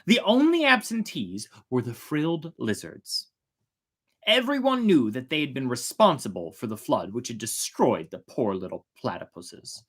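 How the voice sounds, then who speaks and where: A storyteller narrating a folk tale in a theatrical way, with drawn-out, emphatic words. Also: medium pitch, very animated, a bit bright, breathy edge. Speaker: storyteller, host of a storytime show.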